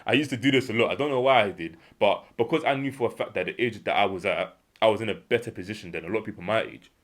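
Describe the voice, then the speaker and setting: A young man with a British accent giving an earnest motivational monologue, repeating key points for emphasis and acting out little imagined exchanges. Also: quick pace. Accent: British English. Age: young adult. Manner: acts out imagined dialogue in a lower voice. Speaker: self-improvement vlogger.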